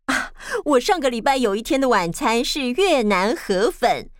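Animated high-voiced Taiwanese woman. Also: moderate pace, dry recording, studio quality. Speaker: presenter on a children's podcast. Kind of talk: lively children's show presenting.

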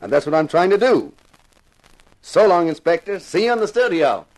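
A man's voice: a little high, big pitch swings, normal speed, a little mellow, low-quality recording. A man acting a cocky, glib TV detective, the wry lead and narrator of a 1950s radio drama. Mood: feigned innocence. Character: wry, cocky TV actor playing amateur detective.

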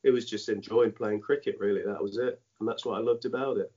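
This is a fairly low-pitched man who grew up in Dominica and first spoke patois, and he tells his story in a halting way, circling back with lots of "you know." The sound is dull and lo-fi, as if recorded on a muffled line. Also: steady tone, mellow, breathy edge. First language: Dominican French patois. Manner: halting, many "you know" fillers and restarts. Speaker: former cricketer, guest. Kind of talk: reflective interview storytelling.

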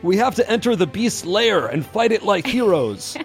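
A man's voice: sits high, very animated, moderate pace, soft background music, pitched above the performer's own voice. Man playing a bombastic half-elf warrior, raised voice. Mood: bold, bombastic. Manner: declamatory, bombastic. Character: brawny, boastful male half-elf warrior hero. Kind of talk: mock-heroic radio drama acting.

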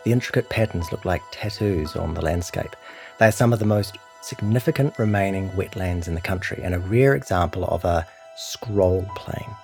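A man with a New Zealand accent reading a scripted long-form news feature as narrator, in a measured, serious way. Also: deep voice, vocal fry, darkish tone, faint music behind. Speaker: narrator of a long-read journalism podcast.

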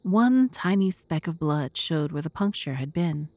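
A woman's voice: sits low, animated, unhurried, a little mellow, no echo. A woman narrating in a scripted, audiobook style as the host of an audio drama.

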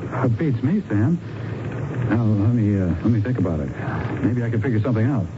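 Man playing shifty crook, animated, noisy recording. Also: fairly low pitch, brisk, close to an everyday voice. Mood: puzzled, casual. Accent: American. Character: shifty, anxious male junior smuggler feigning innocence. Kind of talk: 1970s American radio drama acting. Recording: dull, noisy vintage radio broadcast.

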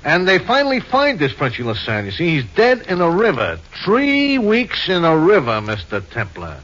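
Folksy New barkeep, very animated. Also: male voice, sits high, moderate pace, exaggerated voice. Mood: skeptical, matter-of-fact. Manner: colloquial, wry, streetwise. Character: folksy, philosophical male New York saloon keeper. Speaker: actor in a radio drama. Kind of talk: 1950s radio acting. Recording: muffled old radio recording.

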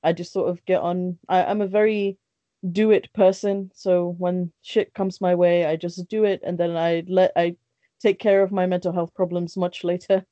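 A woman's voice: lowish voice, flat delivery, moderate pace, mellow, no echo. Candid young woman, dry lo-fi sound. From born in Bangladesh, raised in London. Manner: candid, chatty, many restarts and fillers. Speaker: guest. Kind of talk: casual podcast interview.

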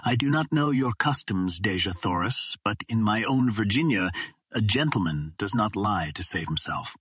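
Man reading as low, resolute gentleman hero. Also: expressive, normal speed, breathy edge, no room sound, studio quality, heavily acted. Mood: earnest, resolute. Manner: formal, resolute. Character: courtly, honorable Virginian gentleman adventurer hero. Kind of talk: audiobook character reading.

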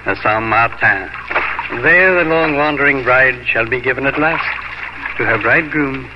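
An actor playing a kindly, steadfast priest in a 1950s radio drama, speaking formally and solemnly to console. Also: male voice, mid-range voice, moderate pace, heavy background noise, lightly acted. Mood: solemn, reassuring. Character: kindly, steadfast priest guiding a young woman. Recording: dull old radio recording, loud music behind.

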